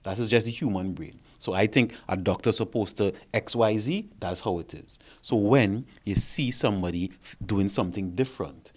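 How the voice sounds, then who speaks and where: A fairly low-voiced man, a therapist, lays out his theory to the panel. He talks in an explanatory way and keeps checking in with the others by asking "right?" His delivery stays measured and conversational. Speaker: therapist and behavior consultant.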